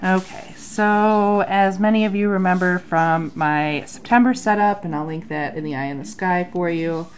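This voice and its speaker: Low-voiced nasal woman, chatty journal vlog. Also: normal speed, few pauses, slight background noise. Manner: chatty, with frequent ums. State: nasal from a sinus infection. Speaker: bullet journal vlogger. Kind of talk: casual chat. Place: home desk under an overhead light.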